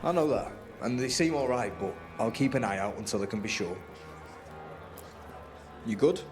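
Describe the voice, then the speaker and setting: A man's voice: mid-range voice, lively intonation, moderate pace, over music, close to an everyday voice. A man playing a blunt, streetwise resistance fighter in a video game, plain-spoken and sardonic. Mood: guarded. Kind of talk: video game character dialogue.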